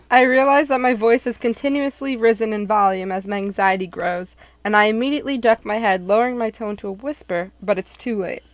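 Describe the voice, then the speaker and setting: A woman reading first-person visual novel narration in an expressive, scripted storytelling style. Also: a little high, fairly quick, hardly any pauses, no room sound.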